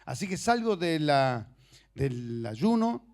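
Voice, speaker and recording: A middle-aged male preacher with a notably high-pitched voice, a native speaker of Portuguese. He delivers an informal sermon built on personal stories, talking conversationally and haltingly, with joking asides. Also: big pitch swings, a little mellow. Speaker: preacher and missionary.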